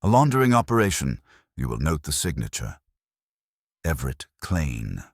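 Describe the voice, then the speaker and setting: A man's voice: very deep voice, wildly swooping pitch, moderate pace, dry recording, clean studio sound, heavily acted. A man voicing a stern, pompous master detective in an audiobook, formal, weighty and accusing.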